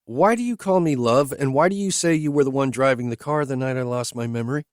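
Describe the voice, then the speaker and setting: A man's voice: medium pitch, quick pace, dry recording, studio quality, voice pushed up, natural voice. A man voicing a blunt, direct young woman in her late twenties, speaking in a raised voice for her. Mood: questioning. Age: late 20s. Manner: blunt, straight to the point.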